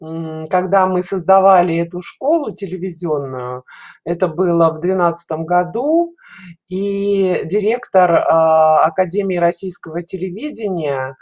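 A woman's voice: fairly low pitch, measured pace, darkish tone. Middle-aged female guest on a video call, chatty, informal and stop-start in delivery. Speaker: guest caller, children's animation studio representative. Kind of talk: casual interview answers. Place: indoors at home, video call. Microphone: headset.

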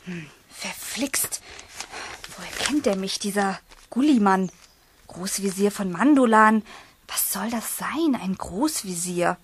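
A woman playing a curious, lively young girl witch in a children's radio play, inquisitive and full of exclamations. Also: medium pitch, slow pace, slight background noise.

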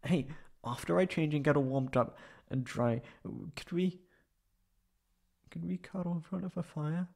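A man voicing a shy, flustered young tsundere catboy in a high put-on voice, halting and stammering in a roleplay audio drama. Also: gravelly, a little mellow. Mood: shy, soft. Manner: halting, stammering, pleading and defensive.

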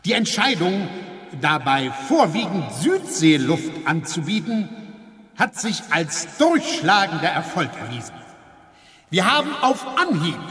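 An actor playing a self-satisfied company boss in a radio drama, pompous and triumphant, speaking in an echoey hall. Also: male voice, high voice, sharp tone, exaggerated voice. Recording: echoey hall with long reverb.

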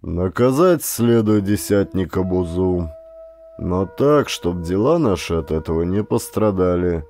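A stern, aging governor of a frontier colony, acted by a male narrator. He speaks in commanding, old-fashioned officialese with animated pitch. He is irritable yet shrewd, made for an adventure audiobook. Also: a little slow, soft background music. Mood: stern deliberation.

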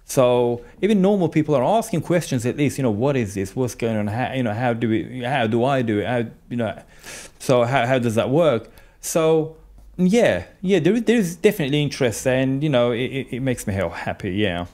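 A man, a podcast guest talking casually into a boom-arm studio mic, filling his speech with "you know" and "um". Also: lively intonation, moderate pace, darkish tone. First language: Turkish. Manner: gestures a lot, frequent "you know" and "um". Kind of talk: casual interview chat. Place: small studio with colored backdrop.

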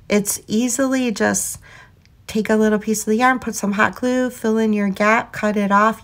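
A woman hosting a craft tutorial in a chatty, enthusiastic voiceover, her voice going hoarse. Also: mid-range voice. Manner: chatty, enthusiastic, laughs often. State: losing her voice. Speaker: craft channel host.

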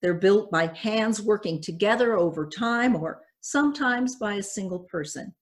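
An older woman pastor giving a measured, reflective sermon, reading from notes at a church pulpit. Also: mid-range voice, expressive.

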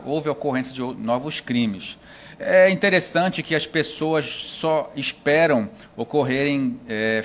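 A man in his thirties with a northern Brazilian accent, a police chief giving a formal, measured statement in an office, often hesitating and restarting. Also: medium pitch, a little hiss or hum. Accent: Brazilian Portuguese, northern Pará. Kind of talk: interview statement. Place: indoor office. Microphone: handheld mic held close.

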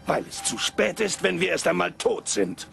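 Excitable old cartoon gent, theatrical, voice swooping all over, gravelly creak. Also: male voice, high-pitched, moderate pace, loud music behind the voice, exaggerated voice. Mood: grateful. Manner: theatrical, exclaiming, chuckling. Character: excitable old miser turned joyful and generous. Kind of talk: German cartoon dub acting.

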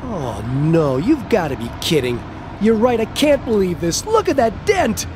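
A man's voice: sits high, big pitch swings, unhurried, background noise. An actor playing a good-humoured, easily exasperated middle-aged family father in an audio drama.

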